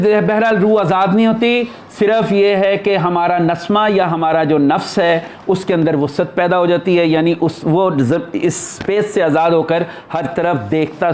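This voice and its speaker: Male spiritual teacher, distinctly high-pitched. Informal sermon delivery, explanatory and emphatic. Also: darkish tone. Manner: emphatic, explanatory, with rhetorical questions. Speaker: spiritual teacher answering followers' questions. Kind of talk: informal religious discourse.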